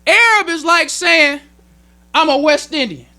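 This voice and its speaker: An American man delivers a sermon in a very high-pitched, piercing voice. He teaches emphatically in plain colloquial speech, driving points home by repetition. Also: lively intonation, unhurried, pushed and bright. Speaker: minister teaching at a temple meeting. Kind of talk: religious sermon, explaining teachings.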